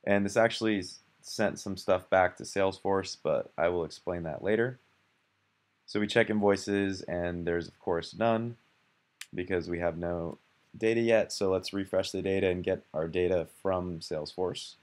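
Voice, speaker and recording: A young man casually narrating a screencast walkthrough of his software, relaxed and conversational, with fillers and self-corrections. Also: sits low, moderate pace. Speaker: software developer demoing his app.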